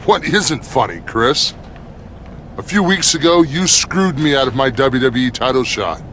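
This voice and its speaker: A man's voice: mid-range voice, unhurried, a bit bright, a little hiss or hum, natural voice. A man playing a vengeful, gloating rival pro wrestler in a video game, mocking and taunting. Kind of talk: scripted video game cutscene acting.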